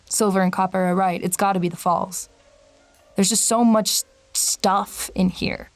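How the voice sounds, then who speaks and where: An actress playing a wary, sardonic traveler in an audio drama, speaking in a dry, skeptical way. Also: female voice, medium pitch, steady tone, unhurried, no room sound, lightly acted. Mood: wry, observant. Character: Amber, wary sardonic traveler narrating a strange road trip. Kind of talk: audio drama dialogue and first-person narration. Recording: music bed behind voice.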